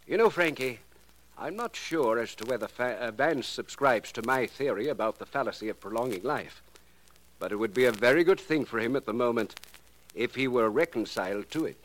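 A man acting in a 1940s radio drama as a cold, precise, self-assured criminal professor who speaks formally and deliberately. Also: medium pitch, lively intonation, a bit bright, close to an everyday voice. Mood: controlled, watchful. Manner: deliberate, educated, formal wording. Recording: noisy vintage radio recording.

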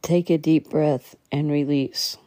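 A woman leading a guided meditation in a soothing, measured way, occasionally stumbling as she reads. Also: deep voice, steady tone, dark tone, no room sound. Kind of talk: guided meditation, slow instructional reading.

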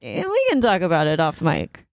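A woman co-hosting a podcast in casual chat, playful and quick to laugh. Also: low voice, wildly swooping pitch, vocal fry, darkish tone, no echo, studio quality. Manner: chatty, laughs often, light and playful. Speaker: podcast co-host.

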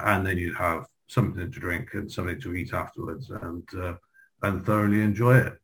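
Halting low-voiced man reminiscing. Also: fairly bright tone, poor audio. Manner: halting, repeats words often. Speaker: guest, former pupil. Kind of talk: reminiscing in a group video chat.

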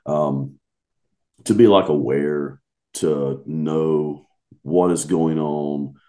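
A man co-hosts a podcast in relaxed, easygoing chat, often hesitating and chuckling as he talks. His voice is very deep. The tone is dark and mellow. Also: very slow. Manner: relaxed, laughs easily, hesitant. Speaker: podcast co-host. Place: remote recording.

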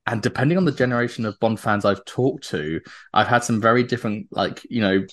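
A man's voice: on the low side, fairly quick. A British man chatting enthusiastically as a podcast guest, laughing often between his points. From Britain. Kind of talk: casual chat.

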